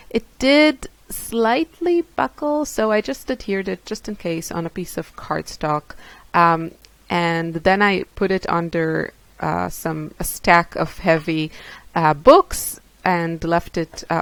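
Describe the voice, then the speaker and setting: A woman's voice: on the low side, dry recording. A woman from Israel whose first language is Hebrew, in her thirties, chatting casually through a scrapbooking tutorial with many fillers and restarts. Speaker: craft video host.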